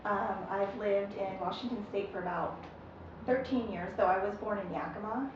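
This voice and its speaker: A woman's voice: medium pitch, normal speed, muffled recording. A woman introducing herself as a candidate, plain and blunt. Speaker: candidate on a panel. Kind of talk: panel self-introduction. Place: public meeting room. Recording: noisy echoey room from far off.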